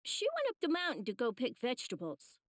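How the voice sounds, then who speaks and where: A woman voicing a small, playful, excitable child with an eager, emotional manner in an anime game. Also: high voice, brisk, no echo, clean studio sound.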